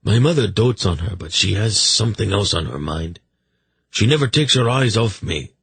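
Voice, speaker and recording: A man's voice: on the low side, expressive, moderate pace, gravelly, fairly bright tone, breathy edge, no echo, pitched above the performer's own voice, heavily acted. A man voicing a sardonic, plaintive young king with a mocking, theatrical manner in a fantasy audiobook. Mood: uneasy, confiding.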